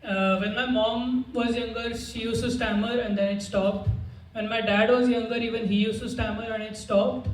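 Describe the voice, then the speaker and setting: A young man with a high voice does stand-up on a club stage, stammering now and then. His delivery is awkward and self-mocking. The sound is roomy and distant, with clear background noise. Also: level tone, normal speed, few pauses. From India. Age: young adult. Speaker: stand-up comedian. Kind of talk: stand-up set. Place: comedy club stage with audience.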